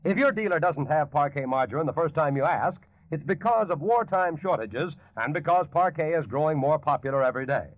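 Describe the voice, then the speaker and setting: A man's voice: on the high side, lively intonation, fairly quick, hardly any pauses, no echo. A man with an American accent reading a scripted 1940s radio advertisement as the announcer. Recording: muffled old 1940s radio broadcast.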